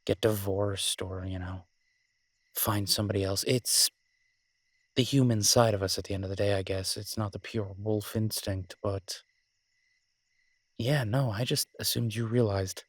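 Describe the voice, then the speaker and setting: Man acting a husky, low-voiced smitten werewolf boyfriend. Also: steady tone, a little slow, long pauses, no echo. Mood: earnest, tender. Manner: hesitant, rambling, tender and teasing. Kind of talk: intimate romantic audio roleplay, conversational.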